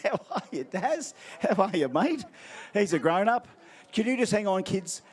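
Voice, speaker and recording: A man's voice: sits high, big pitch swings, moderate pace, slightly rough. An Australian man acting as MC at an outdoor event, handing out prizes with playful, teasing crowd banter.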